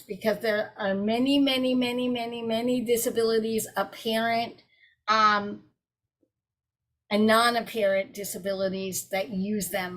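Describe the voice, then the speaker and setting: Brief: woman, webinar presenter, direct conversational delivery over a video call. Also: on the high side. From South Carolina. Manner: warm, direct, addresses audience as y'all.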